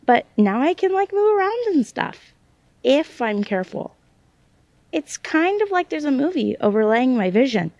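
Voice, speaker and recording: A woman playing a hesitant, self-doubting young psychic in a naturalistic audio drama, speaking in halting phrases. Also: sits high, very animated, a little mellow, no echo, highs cut off.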